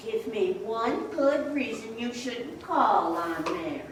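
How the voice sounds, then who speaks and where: A woman's voice: a little high, lively intonation, slow pace. Echoey, meddling older mother, played by a woman. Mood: coaxing. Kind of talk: old-time radio drama stage acting. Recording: echoey theater, distant mic, noisy.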